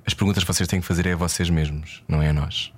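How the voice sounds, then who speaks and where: Low-voiced, thoughtful Portuguese male interviewer. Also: steady tone, racing, breathy edge. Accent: European Portuguese. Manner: thoughtful, tentative, mixes in English phrases. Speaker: interviewer, host. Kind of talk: conversational interview.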